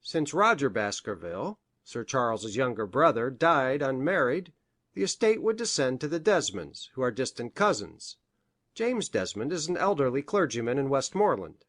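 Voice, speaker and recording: A woman voicing an earnest, respectable gentleman doctor in a storybook, speaking in a precise, formal way. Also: rumbling low, big pitch swings, normal speed, no room sound, studio quality, pitched below the performer's own voice, heavily acted. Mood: earnest, informative.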